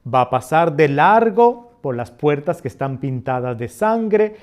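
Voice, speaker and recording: Man, middle-aged, Costa Rican accent, a bit high-pitched, scripture teacher who reads slowly and builds emphasis. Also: very animated. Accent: Costa Rican Spanish. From Costa Rica. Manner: reads passages slowly, then explains with swelling emphasis. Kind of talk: reading scripture and explaining it.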